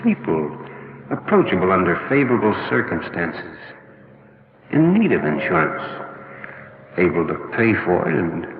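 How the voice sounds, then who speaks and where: A man's voice: low voice, sing-song, normal speed, pauses often, phone-quality sound, a little hiss or hum. An actor playing a discouraged insurance salesman in a 1940s training film, musing about his work. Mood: thoughtful, reciting. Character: discouraged insurance salesman named Jim, pondering his prospects. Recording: boxy echoey 1940s film soundtrack, loud music.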